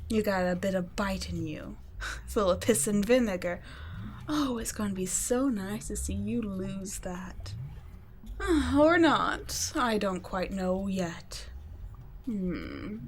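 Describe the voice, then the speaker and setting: A cold, condescending female vampire scientist voiced by a woman for an ASMR audio drama, murmuring and whispering her taunts at a mid pitch. Her mockery stays quiet and close rather than loud. A faint hiss of noise sits under the voice. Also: lively intonation, slow pace. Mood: teasing. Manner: soft, often whispered, mocking and condescending.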